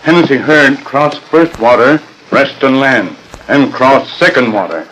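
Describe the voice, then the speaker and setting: A loyal, stoic scout in a 1940s radio western. He speaks in terse broken English at a middling pitch, on an old disc with some background noise. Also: animated, a little slow, fairly bright tone, low-quality recording. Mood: matter-of-fact.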